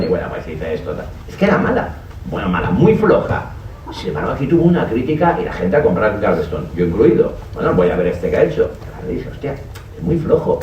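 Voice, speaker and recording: A man from Valencia, a novelist on a conference panel, telling stories in a lively, colloquial way with mild swearing. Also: mid-range voice. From Valencia, Spain. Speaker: panelist novelist and newspaper columnist. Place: conference hall. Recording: roomy, dull, noisy hall audio from a distant mic.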